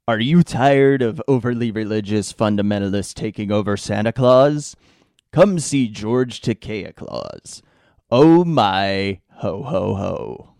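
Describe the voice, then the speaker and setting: A man playing a campy Santa Claus pitchman in a parody commercial, with a drawn-out showman delivery. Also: lively intonation, a little mellow, no room sound, studio quality. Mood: campy, jolly.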